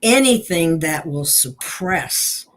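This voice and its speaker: A woman's voice: deep voice, animated, takes it slowly. A woman hosting a spiritual talk show, speaking earnestly and drawing out her guest.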